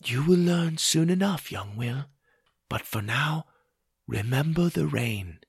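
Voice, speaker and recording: A wise, gentle moon spirit from a fairy tale, voiced by a man. She speaks in a soft, hushed, solemn way. The sound is close and dry. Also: a little high, animated, slow pace, gravelly, breathy edge, no echo, pitched above the performer's own voice, full character voice.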